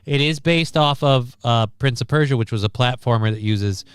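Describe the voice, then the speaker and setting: A man's voice: mid-range voice, moderate pace, clear, resonant tone, no room sound. A man hosting a livestream, giving casual, profane movie commentary in a blunt, sarcastic, ranting manner. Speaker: livestream host.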